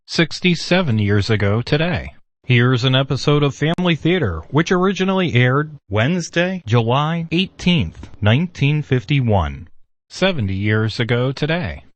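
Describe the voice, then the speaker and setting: A man giving a scripted radio programme introduction in a measured, deliberate announcing manner. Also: mid-range voice, dry recording.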